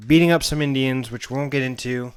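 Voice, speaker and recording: A man co-hosting a podcast in casual chat, with a joking, deadpan teasing manner. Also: mid-range voice, quick pace, crowd noise. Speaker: podcast co-host. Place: indoor room shared with co-host.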